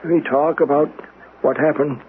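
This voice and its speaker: An actor playing a stubborn, haunted old uncle, crusty, halting and dismissive yet fond, in a radio drama. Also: male voice, fairly high pitch, fairly even intonation, lightly acted. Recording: tinny noisy old radio broadcast.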